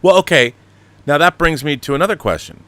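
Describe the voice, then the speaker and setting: A man hosting a conversational interview, building up to his questions deliberately with some restarts. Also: fairly high pitch, lively intonation, bright, forceful tone, no room sound. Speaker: host, interviewer.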